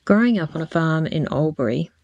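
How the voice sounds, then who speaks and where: Aussie lady reading an audiobook, lowish gravelly creak, wry, really dry close sound. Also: lively intonation, brisk, a little mellow, dry recording, lo-fi sound. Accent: Australian. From Australia. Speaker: audiobook chapter reader. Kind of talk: scripted narration.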